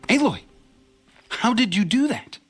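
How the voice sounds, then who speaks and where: A gentle, husky young tribesman. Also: very high-pitched, big pitch swings, a little slow, soft background music, exaggerated voice. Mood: earnest. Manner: soft-spoken and earnest. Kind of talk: video game cutscene acting.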